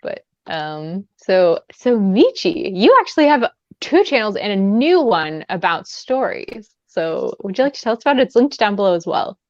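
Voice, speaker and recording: A woman's voice: mid-range voice, very animated, normal speed, hardly any pauses, no room sound. Woman hosting a chatty informal livestream, dry close sound but kinda dull lo-fi audio. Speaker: livestream host. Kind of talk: casual book discussion chat.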